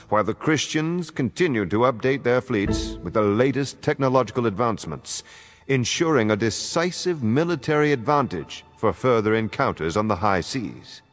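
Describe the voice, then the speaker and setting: A man giving a dramatic scripted historical narration for a game cutscene, in a measured, formal storytelling manner. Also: mid-range voice, lively intonation. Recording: music behind the narration. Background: background music.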